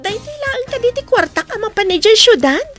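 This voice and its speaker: A woman's voice: very high-pitched, big pitch swings, a little fast, over music. A woman with an Ilocano accent acting a village family role in a radio comedy drama. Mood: frustrated.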